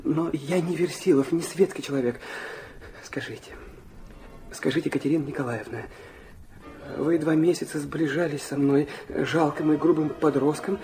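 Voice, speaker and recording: Ardent high-voiced awkward youth played by a man. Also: fairly even intonation, moderate pace, warm tone, soft background music, close to an everyday voice. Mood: shy, intense. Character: ardent, impulsive, awkward teenage youth, male. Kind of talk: Russian classic audio drama acting.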